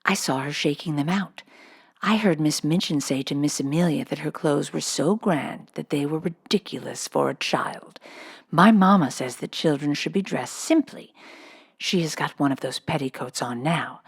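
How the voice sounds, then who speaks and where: A woman's voice: low-pitched, moderate pace, creaky, husky, exaggerated voice. A woman voicing a spiteful, gossipy schoolgirl of nearly thirteen in a children's storybook. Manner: gossipy, sniffy.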